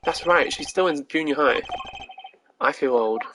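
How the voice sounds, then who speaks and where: A woman voicing a dry, sceptical young male lawyer from a video game in a deadpan manner. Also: low voice, unhurried, background noise. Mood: weary.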